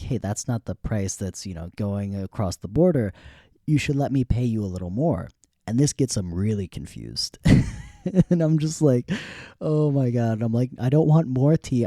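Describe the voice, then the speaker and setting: A young man hosting a podcast, telling a story in a relaxed, rambling way with frequent laughs. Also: lowish voice, no room sound, clean studio sound. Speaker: podcast host. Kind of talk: casual storytelling.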